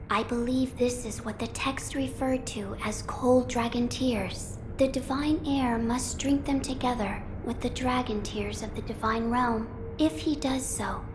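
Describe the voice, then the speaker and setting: A woman playing a serene, frail young holy maiden in a video game cutscene, speaking formally and gently. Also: on the high side, background noise, close to an everyday voice. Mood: solemn, hopeful. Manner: formal, measured, gentle delivery.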